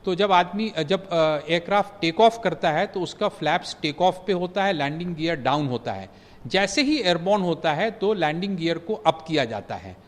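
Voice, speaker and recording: A man, a former pilot, giving measured expert analysis on a news channel, pausing often with fillers and mixing in English phrases. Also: fairly high pitch, expressive, long reverb tail. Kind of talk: news commentary interview.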